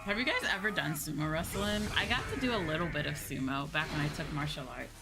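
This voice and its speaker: Woman streamer, lowish pitch, casual game commentary, chatty, informal, clear game music in background. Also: fast talker, poor audio. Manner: chatty, informal musing to viewers. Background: video game music.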